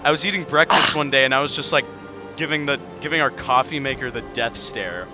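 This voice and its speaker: Young man telling casual stories over music. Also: mid-range voice. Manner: halting, filler-heavy, informal. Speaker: storyteller on a gaming channel. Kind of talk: casual storytelling chat.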